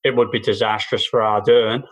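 A man's voice: fairly low pitch, flat delivery, a little fast, a bit bright. A man co-hosting a casual political chat podcast, talking in long sweary runs full of false starts and restarts. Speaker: podcast co-host and stand-up comedian. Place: New Zealand.

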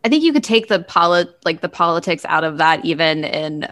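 A woman's voice: low-pitched, expressive, a little fast, a bit bright. A woman co-hosting a podcast, talking in a casual conversational way with a panel. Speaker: library podcast co-host. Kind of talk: casual panel chat, introducing a news item.

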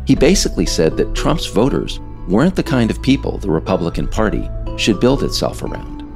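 Very deep-voiced man narrating, slightly gravelly. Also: big pitch swings, brisk, music in the background. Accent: American. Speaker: author reading own work, narrator. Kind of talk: scripted narration.

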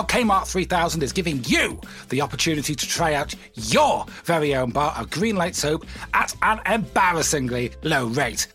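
Cheesy wasteland pitchman acted by a man, theatrical, highish and very animated, music underneath. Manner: theatrical, grandiose salesman delivery. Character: cheesy, showy male wasteland radio pitchman. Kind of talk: parody radio advertisement.

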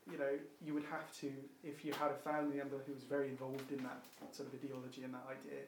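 A man's voice: medium pitch, completely flat delivery, fast, noisy background. Hesitant man in a roomy space. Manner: thoughtful, hesitant, many fillers and restarts. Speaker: discussion participant. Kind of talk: reflective group discussion. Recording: roomy echo.

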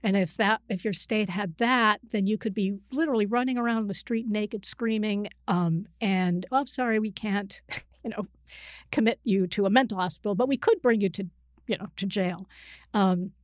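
A woman with a mid-pitched voice speaks as an expert guest in an informal interview. She explains discursively, restarting and rewording her sentences as she goes. The recording is dry, with hardly any echo. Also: normal speed. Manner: discursive, often breaking off and rephrasing mid-sentence. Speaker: interview guest, psychiatrist and writer.

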